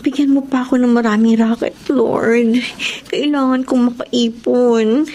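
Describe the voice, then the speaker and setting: An actress playing a hardworking, anxious elder sister in a radio drama, speaking in an earnest, emotional way. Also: female voice, a little high, fairly even intonation, a little slow, mellow, close to an everyday voice. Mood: worried, pleading.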